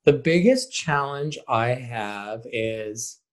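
A man who teaches and directs theater, answering interview questions in an expressive, emphatic, conversational way. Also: medium pitch, slow.

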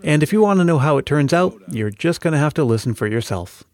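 A man's voice: on the high side, animated, fast. A man hosting a podcast, reading scripted narration in an addressing-the-listener style. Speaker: podcast host. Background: music bed.

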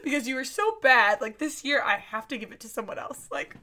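Casting: young female host with a bright, high-leaning voice, animated storyteller. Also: brisk. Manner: animated storyteller, laughs often. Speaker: podcast host. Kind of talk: casual chat.

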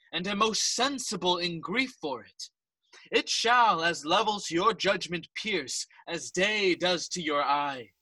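A placating king in Shakespearean audio drama, his voice high and bright. He declaims solemnly in English and Spanish, slowly and deliberately, in a dry recording. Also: no echo, exaggerated voice, low-quality recording.

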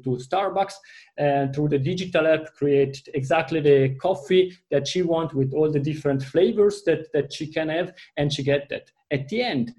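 A man with an Italian accent talks through a business discussion, building his points with long examples and frequent restarts. His voice sits a little high and sounds mellow and relaxed. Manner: halting, restarts often, explains through examples. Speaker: panel guest.

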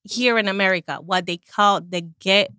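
Bright-voiced woman chatting as a guest. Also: mid-range voice, moderate pace, no room sound, studio quality. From Colombia. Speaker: podcast guest. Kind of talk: casual chat.

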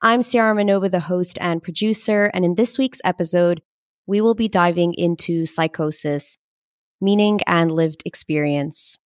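Dry-sounding low-voiced young woman host. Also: no echo. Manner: earnest, enthusiastic, measured. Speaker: podcast host and producer. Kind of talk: scripted introduction then casual interview.

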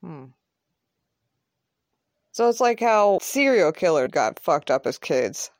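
A woman's voice: on the low side, sing-song, slow, dry recording, clean studio sound, heavily acted. Woman playing a blunt, foul-mouthed, protective guy. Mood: pensive. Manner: rambling, profane, emphatic. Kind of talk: naturalistic audio drama dialogue.

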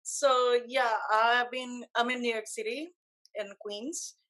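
A woman's voice: a little high, fairly even intonation, slow pace, sharp tone, rough recording. Young woman with a Puerto Rican accent. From Puerto Rico. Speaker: guest, photographer and motion graphic designer. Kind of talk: casual interview answers.

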